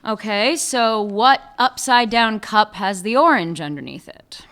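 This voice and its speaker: A woman's voice: mid-range voice, expressive, unhurried, a bit bright. A woman playing a sardonic police chief. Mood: guarded. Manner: dry, sarcastic. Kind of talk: radio play acting.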